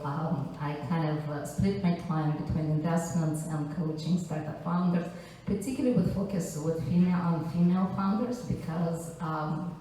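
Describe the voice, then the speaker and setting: Low, mellow woman in a very echoey room. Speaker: panelist, startup coach and investor. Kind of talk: informal self-introduction at a panel. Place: echoey indoor event venue. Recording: very echoey room, distant mic, faint noise.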